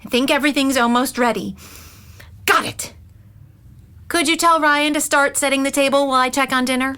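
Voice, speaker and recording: A woman's voice: high voice, steady tone, moderate pace, sharp tone. An actress playing an anxious, exacting, well-meaning middle-aged mother, earnest and insistent, in an audio drama. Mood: fussy. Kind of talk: naturalistic audio drama dialogue.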